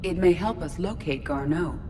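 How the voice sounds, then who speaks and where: A woman voicing a composed, analytical artificial intelligence in a video game, speaking in precise, formal, measured sentences. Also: on the low side, background noise, lightly acted. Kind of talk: scripted video game acting. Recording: long reverb, loud music behind.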